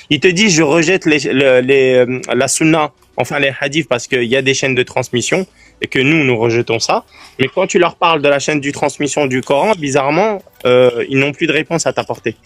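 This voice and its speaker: A man who is a guest in a calm live-stream debate, speaking earnestly and in a friendly way while he searches for words. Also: mid-range voice, no echo. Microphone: remote live-stream call.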